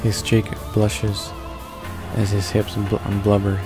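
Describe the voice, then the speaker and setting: A man with a fairly low, very monotone voice reads a visual novel aloud. He goes haltingly, tripping over words and repeating them, with game music clearly playing behind him. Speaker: let's play narrator. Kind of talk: read-along of a visual novel.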